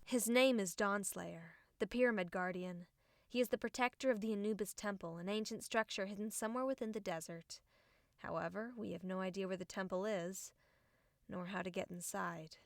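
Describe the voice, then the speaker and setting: A woman's voice: mid-range voice, normal speed, dry recording, studio quality, close to an everyday voice. A woman playing a serious, strategic young female fighter in an audiobook, explaining things matter-of-factly. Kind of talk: audiobook character acting.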